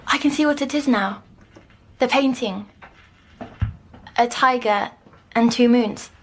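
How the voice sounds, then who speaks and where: An actress playing a timid young girl troubled by nightmares in an audio drama, speaking hesitantly and softly. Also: on the high side, a little hiss or hum. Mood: haunted, wondering. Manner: hesitant, halting, softly descriptive. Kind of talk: scripted audio drama acting.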